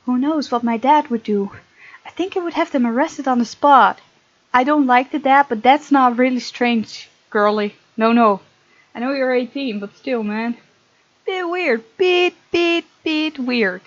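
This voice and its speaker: A woman's voice: sits high, unhurried. Chatty woman with a dull, lo-fi mic. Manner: chatty, giggly asides while reading aloud. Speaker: gaming video host. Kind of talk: playthrough commentary mixed with reading visual novel text aloud.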